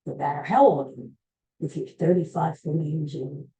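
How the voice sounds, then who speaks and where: A woman's voice: sits low, fairly even intonation, normal speed, warm tone, low-quality recording. A woman hosting a podcast on her own, giving rambling, folksy life advice with many restarts and calling her listeners folks. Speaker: podcast host. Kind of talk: casual solo podcast monologue.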